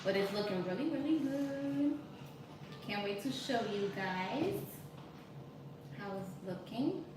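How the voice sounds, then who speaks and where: A woman's voice: medium pitch, animated, slow pace, long pauses. Chatty woman in her thirties, echoey kitchen. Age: 30s. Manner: chatty, reads viewer comments aloud, switches into Spanish. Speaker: cooking channel host. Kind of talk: casual live-stream chat while cooking. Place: home kitchen. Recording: echoey kitchen, distant mic, noisy.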